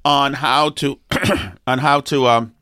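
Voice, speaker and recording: A man co-hosts a talk show, his voice sniffly and mid-pitched. He rambles through fillers and restarts before hitting his points hard. The sound is dry and close. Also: fairly even intonation, sharp tone, smooth, no room sound. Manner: rambling, halting with fillers and restarts, then emphatic. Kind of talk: opinionated casual talk.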